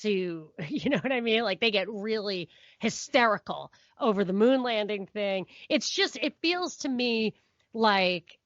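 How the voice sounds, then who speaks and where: A woman's voice: medium pitch, expressive, a little slow, no echo, dull audio. Middle-aged woman in casual, dry-sounding podcast chat. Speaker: podcast co-host.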